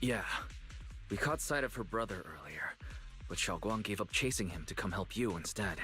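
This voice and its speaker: A man voicing a grave, calm male companion in a video game. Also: fairly low pitch, moderate pace, faint music behind. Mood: calm, serious.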